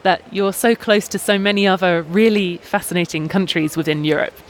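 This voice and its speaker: A British man hosting a podcast outdoors, talking in a measured, articulate way with small hesitations. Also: high-pitched, slight background noise, low-quality recording. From Britain. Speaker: podcast host and journalist. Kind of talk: casual podcast talk. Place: outdoors in a busy town square.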